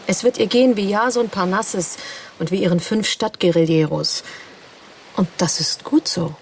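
A hard, businesslike woman agent, played by an actress, in a sci-fi radio crime play. The voice sits at a medium pitch and is matter-of-fact and clipped. It comes from slightly off the mic. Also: moderate pace, recorded from a distance, a little hiss or hum, natural voice. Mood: cold, deducing. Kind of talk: radio drama dialogue.

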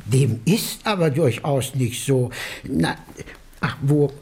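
An actor playing an elderly, hesitant, absent-minded English country priest in a German radio drama. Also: male voice, mid-range voice, lively intonation, slow, a little mellow. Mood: earnest, rambling. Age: older. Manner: hesitant, rambling, repeats himself, absent-minded.

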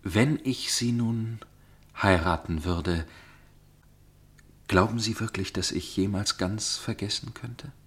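A man's voice: on the low side, takes it slowly, long pauses, husky. An actor playing an earnest, conscience-stricken young man in love, formal and brooding, in a 1960s German radio drama. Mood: troubled, torn.

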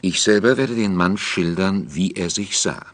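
A man's voice: deep voice, animated, a little slow. A man narrating a scripted radio feature. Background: music.

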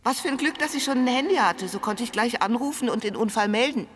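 A woman's voice: on the high side, fast talker, a little hiss or hum, close to an everyday voice. A woman playing an earnest, chatty dog walker giving a witness statement in a radio drama.

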